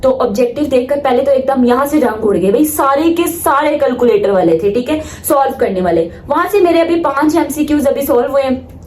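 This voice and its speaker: High-voiced young Pakistani woman vlogging. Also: lively intonation, brisk, darkish tone, roomy sound. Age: late teens. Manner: emphatic, opinionated, mixes in English phrases. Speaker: student vlogger. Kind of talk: casual vlog talk to viewers.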